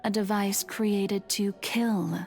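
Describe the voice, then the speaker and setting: A woman voicing a serene, playful, centuries-old goddess resigned to sacrifice, with theatrical, gently teasing, formal delivery in game dialogue. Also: mid-range voice, fairly even intonation, slow. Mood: playful, knowing. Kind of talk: video game character dialogue. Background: music.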